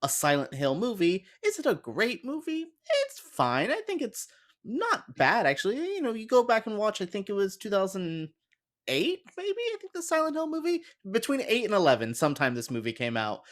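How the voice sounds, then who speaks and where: Man chatting with very lively pitch. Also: normal speed. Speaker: podcast guest. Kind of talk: casual film and game discussion chat.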